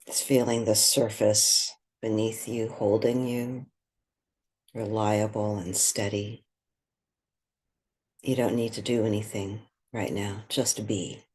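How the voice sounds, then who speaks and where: A meditation guide with a soft, breathy voice, speaking gently and without hurry, leaving long pauses. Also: medium pitch, little pitch movement.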